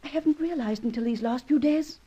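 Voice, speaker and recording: High, mellow, troubled heroine played by a woman. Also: brisk, highs cut off, close to an everyday voice. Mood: anxious, sorrowful. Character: refined, troubled young female heroine. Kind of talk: old-time radio melodrama acting.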